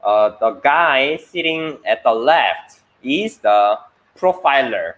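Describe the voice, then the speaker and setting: A man who speaks Korean natively, co-hosting a casual language chat in halting English with many fillers. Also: medium pitch, animated, a bit bright. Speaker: co-host teaching Korean.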